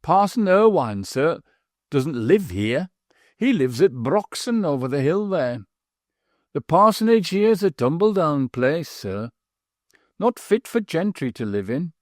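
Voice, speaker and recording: A man's voice: fairly high pitch, very animated, unhurried, no echo, clean studio sound, voice pushed up. A man doing a wheezy, mincing old-fashioned innkeeper. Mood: deferential. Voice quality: treble, wheezy, slightly mincing. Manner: deferential, says sir constantly. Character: plump pompous village innkeeper, former butler, male.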